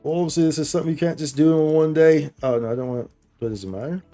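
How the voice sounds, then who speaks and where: Casual man livestreaming over soft music. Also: a little high, quick pace. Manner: slangy, bro-heavy, repeats phrases for emphasis. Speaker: game streamer, host. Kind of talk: casual livestream chat while gaming.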